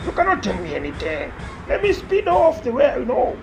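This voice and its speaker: Man playing a high-pitched, cocky young rider. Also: huge pitch swings, a little fast, vocal fry, over music, exaggerated voice. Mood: dismissive. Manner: brash, dismissive. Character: reckless, cocky young male motorbike taxi rider. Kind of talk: radio drama acting. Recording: noisy, with vehicle sounds.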